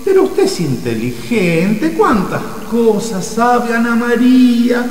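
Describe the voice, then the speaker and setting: A man playing an excitable, curious invisible ghost who stammers and pleads in a radio comedy drama. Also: very high voice, takes it slowly, a little hiss or hum, full character voice. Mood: curious, incredulous. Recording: echoey, reverberant, slightly distant from mic.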